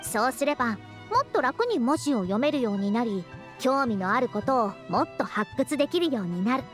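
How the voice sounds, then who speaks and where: Proud scholar girl, anime game character, high voice, swooping intonation, archaic elderly phrasing, self-important yet caring. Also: very animated, a little fast, faint music behind. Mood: earnest, caring. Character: proud, youthful-sounding woman scholar who speaks like an elderly senior. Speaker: scholar and teacher. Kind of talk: Japanese anime-style video game voice acting.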